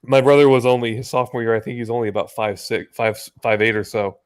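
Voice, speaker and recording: An American man in his early twenties, a guest telling animated stories with frequent laughter and swearing in a casual video-call chat. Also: mid-range voice, fast, clear, resonant tone. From United States. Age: early 20s.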